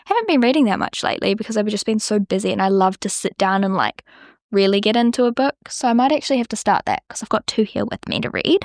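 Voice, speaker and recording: A woman's voice: fairly high pitch, no echo, studio quality. A young woman with a New Zealand accent, hosting a podcast in a chatty, rambling way full of "like". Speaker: podcast host. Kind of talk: casual solo podcast chat.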